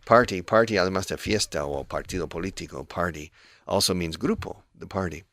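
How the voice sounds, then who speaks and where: A man with a low voice teaches English on the radio. He explains phrases patiently, moving between two languages. The studio sound is dry and close. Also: fairly quick, a bit bright, no room sound.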